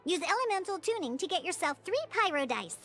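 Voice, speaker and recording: A woman voicing a peppy young cartoon mascot in a video game tutorial, chirpy and childlike, eagerly explaining with expressive exclamations. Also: very high voice, brisk, soft background music, heavily acted. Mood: explaining, encouraging.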